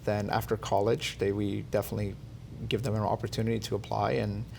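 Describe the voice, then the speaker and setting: A man born in Iran, a company founder answering interview questions in a measured way with many fillers. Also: on the low side, level tone, vocal fry, darkish tone, a little hiss or hum. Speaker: tech company CEO, guest.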